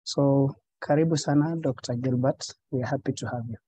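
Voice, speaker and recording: A man moderating an online webinar, formally introducing the guest speaker. His voice is very dark and mellow, recorded dry and close. He pauses on frequent fillers. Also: mid-range voice, steady tone, slightly rough, no room sound. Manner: hesitant, many fillers. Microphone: video call.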